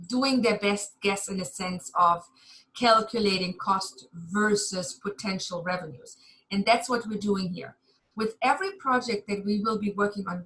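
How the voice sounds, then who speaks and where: German lady lecturer on a video call, bright tone, loose and candid, jokes at herself. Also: mid-range voice, poor audio. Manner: chatty, candid, self-deprecating with light laughs. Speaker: university lecturer. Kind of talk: online class lecture.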